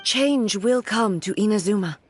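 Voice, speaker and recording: A woman's voice: medium pitch, lively intonation, moderate pace, noisy background, close to an everyday voice. A woman playing a dignified, resolute ruling warrior deity in a video game, speaking formally and solemnly. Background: music.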